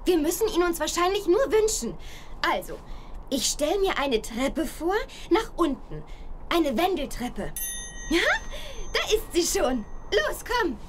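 Curious kid girl in an audio play, high bouncy voice, bit of ambience. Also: big pitch swings, a little slow, slight background noise, heavily acted. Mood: determined. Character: curious, eager, warm-hearted young girl adventurer. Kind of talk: children's audio drama acting. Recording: faint ambience behind.

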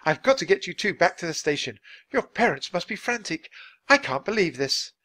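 A man's voice: sits high, very animated, normal speed, bright, forceful tone, pitched above the performer's own voice, exaggerated voice. A man voicing a flustered grown woman guide in a storybook, bossy but caring, exclaiming and stammering when shocked. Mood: shocked, frantic.

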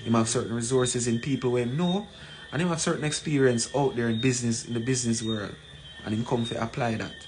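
A man in his forties with a Jamaican accent gives an emphatic, deliberate address to camera, repeating phrases for weight. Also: medium pitch. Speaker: community leader. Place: small plain room indoors. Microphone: phone at arm's length. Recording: noisy room, ambient background sound.

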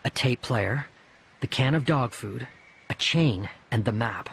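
A man's voice: mid-range voice, lively intonation, measured pace, vocal fry, fairly bright tone, a little hiss or hum. A man reading a first-person audiobook story expressively, acting out the dialogue. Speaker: audiobook narrator. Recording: music behind the voice. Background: music.

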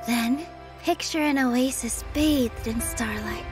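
An actress playing a gentle, dreamy young female character in an anime-style game trailer, with soft, unhurried delivery. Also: a little high. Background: trailer music.

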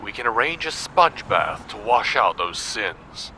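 Actor as a sharp, forceful, crude male boss. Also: fairly low pitch, big pitch swings, a little slow, slight background noise, exaggerated voice, poor audio. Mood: crude, smug. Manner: vulgar, brash, blunt. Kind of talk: audio drama acting.

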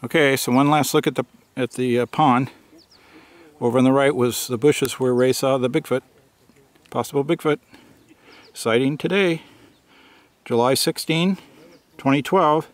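A man's voice: mid-range voice, measured pace, long pauses, dry recording. Chatty man filming outdoors. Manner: chatty, running commentary. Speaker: hiker filming a Bigfoot search. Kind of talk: casual narration while filming. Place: open grassy field outdoors at dusk. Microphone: handheld camera mic.

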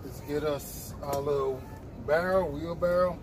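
A middle-aged man giving a relaxed how-to talk while raking out a chicken coop outdoors. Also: fairly high pitch, a little slow, over music. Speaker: homesteader vlogger. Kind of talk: casual how-to chat while working. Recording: noisy outdoor camera audio.

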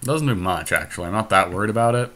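A man's voice: on the low side, animated, fast, crowd noise. A man who hosts a podcast, giving casual commentary on a card game as he plays. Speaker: podcast host playing an online card game.

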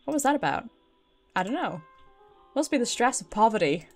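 A woman's voice: a little high, very animated, a little fast, soft background music. A British woman doing casual, chatty game stream commentary, swearing freely and reacting aloud as she plays.

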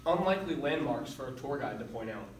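A teenage boy hosting a school improv show, reading out prompts briskly like an announcer. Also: mid-range voice, lively intonation. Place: school classroom. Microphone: far camera. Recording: echoey classroom from far off, loud noise.

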